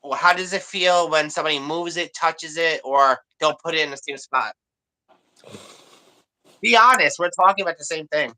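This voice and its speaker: Woman, bright sharp voice, candid and emphatic, long run-on talk. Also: low-pitched, normal speed, poor audio. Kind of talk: casual chat.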